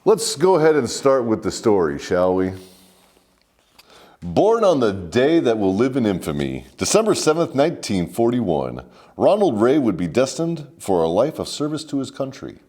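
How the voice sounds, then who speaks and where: A man's voice: medium pitch, sing-song, normal speed, dark tone. A man hosting a scripted comedic military history story, mock-formal and sardonic with salty slang. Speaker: host and storyteller.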